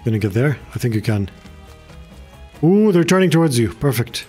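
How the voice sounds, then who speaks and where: A man's voice: mid-range voice, very animated, moderate pace, a little mellow. Guy who speaks arabic natively, streaming a game, chatty and teasing, bit of music in the back. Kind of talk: casual gaming commentary. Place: small indoor room, streaming setup.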